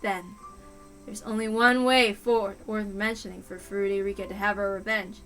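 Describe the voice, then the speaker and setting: A grave male detective, played by a woman, speaks with steady resolve in a dramatic story reading. The delivery is quiet and determined, with a bright edge and music behind it. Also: mid-range voice, moderate pace, pitched below the performer's own voice, natural voice, low-quality recording. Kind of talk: dramatic reading of a visual novel.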